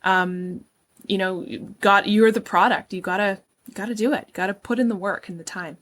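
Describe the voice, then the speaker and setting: A woman's voice: mid-range voice, steady tone, normal speed, fairly bright tone. A woman hosting an interview, speaking earnestly and conversationally about her own career. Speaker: podcast host.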